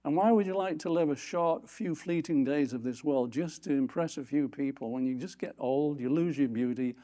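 A man preaching a sermon from a church platform, lifting his voice for scripture quotes and dropping low for quiet asides. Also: moderate pace. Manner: animated, rising pitch on scripture quotes. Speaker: pastor.

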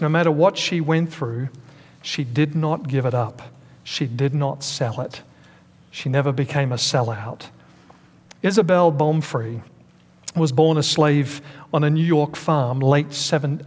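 A man preaching a Sunday sermon to a congregation, stressing key words and putting questions to the listeners. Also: on the high side. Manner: preaching with emphatic pauses and calls to the congregation. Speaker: pastor. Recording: long hall reverb.

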